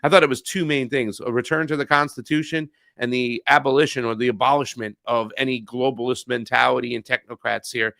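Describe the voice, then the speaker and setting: A man co-hosting a political podcast, animated and profane, mocking others in put-on voices. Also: medium pitch, brisk, vocal fry, hardly any pauses, no room sound. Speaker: podcast co-host. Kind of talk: casual political talk show.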